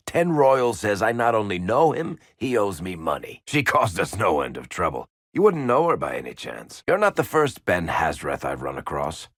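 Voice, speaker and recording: Roguish dwarf, video game dialogue, lowish pitch, lively intonation, sardonic, casual, affable, teasing storyteller. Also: male voice, no echo, full character voice. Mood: dry, wry. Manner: sardonic, casual, dry wit.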